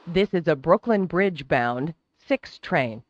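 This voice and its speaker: A train announcer delivering scripted transit announcements in a formal, measured, clearly enunciated way. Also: mid-range voice, animated, dry recording, muffled recording. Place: recorded train public-address announcement.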